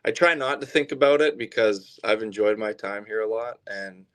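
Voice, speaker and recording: Low-voiced young man chatting candidly. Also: normal speed, a bit bright. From southern Alberta, Canada. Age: 20s. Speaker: baseball pitcher being interviewed. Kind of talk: casual interview chat.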